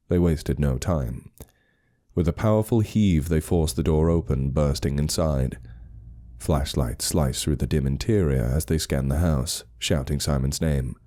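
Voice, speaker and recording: Very deep, dark-voiced male horror narrator. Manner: grave, suspenseful storytelling. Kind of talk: scripted horror story narration.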